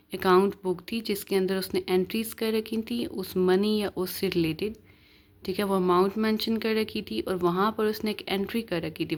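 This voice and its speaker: A woman with a Pakistani accent giving an explanatory lecture as a law teacher, in a didactic way with short check-in tags. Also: medium pitch. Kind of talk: explanatory lecture, reading statutes aloud and explaining them.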